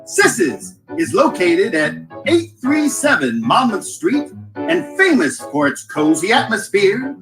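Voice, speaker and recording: A woman delivers a scripted advertisement. Her pitch moves in an animated way as she reads. Music plays clearly behind her voice. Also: slow pace, rough recording. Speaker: advertisement announcer. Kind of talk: scripted promotional narration.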